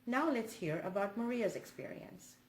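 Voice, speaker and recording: A woman's voice: fairly high pitch, animated, normal speed. A woman from India reading a scripted personal account as a student presenter. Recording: roomy sound with faint noise.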